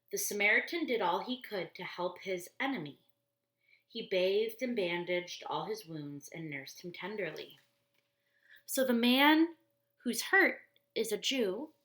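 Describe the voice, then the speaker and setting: A woman reads a children's story aloud at an unhurried pace, with a middle-range pitch. She is expressive and deliberate, putting things in simple words. The room adds a clear echo around her voice. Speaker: storybook reader for church children. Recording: roomy, echoey indoor sound.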